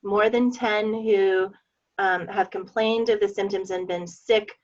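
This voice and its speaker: A woman's voice: medium pitch, steady tone, slow, muffled recording. A woman from Seattle with an American accent, talking casually and personally on a group call. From Seattle, USA.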